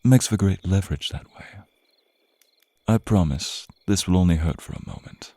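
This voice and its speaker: A man's voice: sits low, lively intonation, normal speed, gravelly, dark tone, no echo, full character voice. A man voicing a suave, predatory vampire in an intimate ASMR roleplay, with a teasing, possessive, theatrical drawl and murmured asides.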